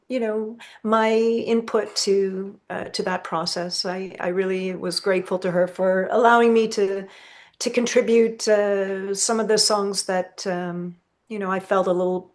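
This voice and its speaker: A woman of medium pitch gives casual answers as a podcast guest. Her phrasing is measured and halting, feeling tentatively for each next word.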